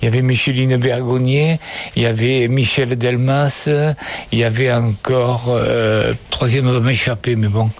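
An older man, answering children's interview questions at an unhurried, storytelling pace. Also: mid-range voice, level tone.

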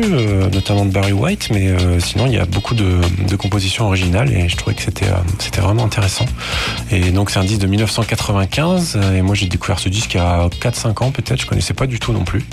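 A man speaks as a guest in a casual radio interview. His voice is fairly low with plenty of fry, and he pauses often as he feels for words. Music plays clearly behind him. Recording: music playing under the voice.

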